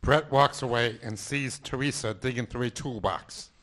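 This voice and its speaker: A man's voice: medium pitch, moderate pace, a bit bright. Man reading stage directions. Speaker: narrator. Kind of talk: scripted narration.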